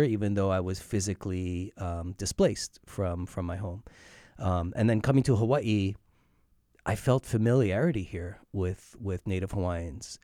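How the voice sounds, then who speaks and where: A man in his forties from Iran and upstate New York, telling his story conversationally as a radio guest, reflective and pausing to choose his words. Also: fairly low pitch, a little mellow, no echo, studio quality. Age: 40s. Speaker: interview guest, hip hop artist.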